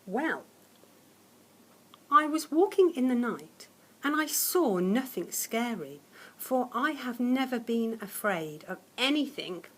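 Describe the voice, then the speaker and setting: Woman, children's story read-aloud, slow unhurried pace, lively intonation, dramatic teacherly delivery. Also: on the high side, slight background noise. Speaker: primary school teacher reading a story to children. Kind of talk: expressive children's picture-book read-aloud.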